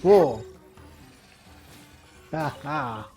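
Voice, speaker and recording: A man giving casual let's-play commentary, rambling and halting as he thinks aloud. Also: a little high, animated, warm tone. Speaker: gaming commentator. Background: video game music.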